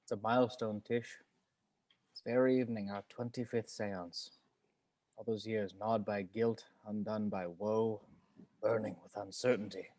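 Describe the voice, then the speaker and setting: A man playing a passionate, theatrical, excitable family patriarch with a dramatic, florid delivery in a table read. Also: lowish voice, measured pace, close to an everyday voice. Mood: anguished, yearning.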